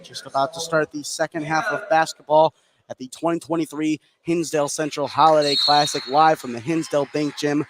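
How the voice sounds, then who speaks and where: Higher-pitched man calling live basketball. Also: fairly even intonation, fairly quick, noisy background. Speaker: play-by-play commentator. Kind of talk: live basketball radio commentary.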